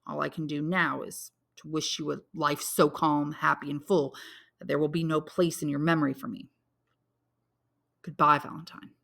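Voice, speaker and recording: An honorable, lovelorn young man from a classic novel read by a woman, earnest and intense. The voice sits fairly low with a creaky edge, solemn and grimly resolute. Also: level tone, moderate pace, fairly bright tone, heavily acted. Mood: bitter resignation. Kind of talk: dramatic audiobook character reading.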